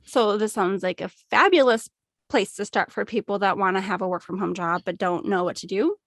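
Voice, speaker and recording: A woman's voice: mid-range voice, brisk, a bit bright, dry recording, clean studio sound. A woman hosting a podcast, asking her guest plain, friendly questions. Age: adult. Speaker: podcast host. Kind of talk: podcast interview.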